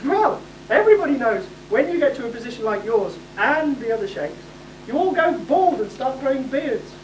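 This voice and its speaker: A woman's voice: high-pitched, very animated, normal speed. A woman in an amateur stage pantomime playing a haughty, stubborn young princess in an imperious, exclaiming manner. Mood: sulky. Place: hall stage, distant microphone. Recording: echoey distant hall, faint noise.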